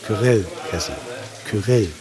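An actor playing a breezy, informal security officer in a science-fiction radio drama. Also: male voice, lowish voice, close to an everyday voice. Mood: casual, brisk. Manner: quick, casual, teasing. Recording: busy, loud crowd background.

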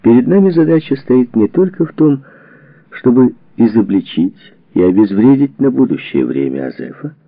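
A man reading a historical book as a scripted narration, with a deliberate, formal, declamatory delivery. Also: mid-range voice, sing-song, gravelly, no echo. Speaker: audiobook narrator. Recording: narrowband phone-like sound, radio-like.

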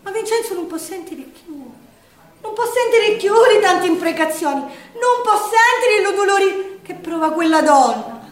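A woman storyteller with a Sicilian accent declaims a lament in verse, in a very high voice. She delivers it as theatre, sounding distant from the mic in an echoey space. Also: lively intonation, a little slow.

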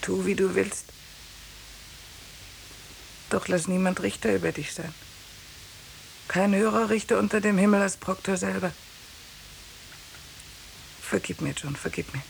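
An actress playing a grieving, remorseful wife, solemn and fervent, in 1950s German radio drama style. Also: female voice, medium pitch, unhurried, vocal fry, long pauses, a little hiss or hum, poor audio. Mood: tender, sorrowful.

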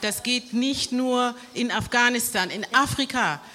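A woman's voice: a little high, measured pace, pushed and bright. A woman on a panel adding to another speaker's remarks, earnest and indignant. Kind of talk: public panel statement.

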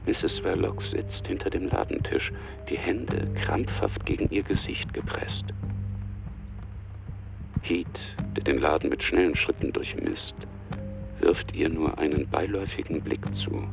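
A man's voice: a bass voice, a little slow, vocal fry, muffled recording. A man narrating a radio drama from a script. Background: music.

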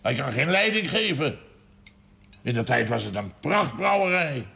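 An actor playing a gruff, shrewd, middle-aged businessman with a Dutch accent in a television drama, blunt and grumbling. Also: medium pitch, sing-song, measured pace, pushed and bright, exaggerated voice. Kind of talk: naturalistic television drama acting.

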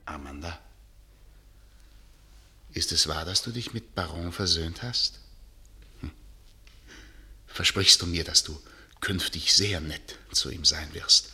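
An actor playing a bossy, wry middle-aged theatre director in a German radio drama, swinging between muttered asides and barked orders. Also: male voice, a bass voice, slow, long pauses, pushed and bright, full character voice. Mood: quiet, coaxing.

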